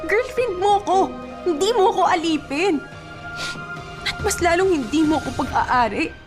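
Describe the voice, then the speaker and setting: A woman's voice: extremely high pitch, lively intonation, unhurried. A woman playing a weary young girlfriend in a Filipino radio drama, emotional and pleading. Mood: hurt, fed up. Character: weary young girlfriend defending herself from a jealous boyfriend. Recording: music bed under the voice.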